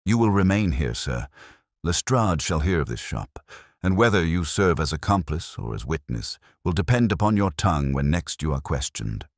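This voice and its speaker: A man acts out a master detective from a mystery audiobook, very deep-voiced and coolly analytical. He speaks in formal, declamatory phrases with lively swings of pitch. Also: moderate pace, no room sound, clean studio sound, full character voice. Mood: commanding.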